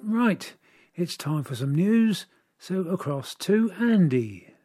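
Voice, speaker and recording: A man presenting a local talking newspaper, reading a scripted introduction in a measured, friendly way. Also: sits high, very animated, mellow, husky. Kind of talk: scripted news magazine introduction. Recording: music playing behind the voice.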